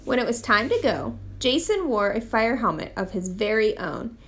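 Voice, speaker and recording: A woman reading a children's picture book aloud in an expressive storytime style. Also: a little high, normal speed. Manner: expressive read-aloud delivery. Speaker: storytime reader, literacy program manager.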